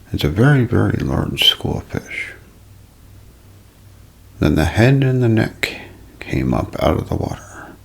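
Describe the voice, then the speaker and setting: A man voicing a calm, awestruck woman eyewitness in an ASMR role play, in a breathy, deliberate half-whisper. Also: lowish voice, exaggerated voice. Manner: slow, deliberate.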